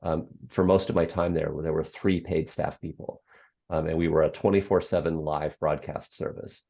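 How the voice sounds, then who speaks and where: An older speaker with a very low, gravelly bass voice answers interview questions at an easy pace, circling back with ums and restarts. The audio sounds a little dull and lo-fi. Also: fairly even intonation, moderate pace, dark tone. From United States. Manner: reflective, frequent ums and restarts. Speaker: guest, author and former radio program director. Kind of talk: interview conversation.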